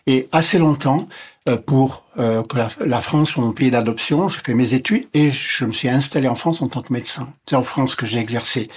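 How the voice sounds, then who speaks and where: A man whose first language is English, speaking as a physician guest and explaining step by step in a measured way that lists and repeats points. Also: medium pitch, breathy edge. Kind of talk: explanatory interview talk.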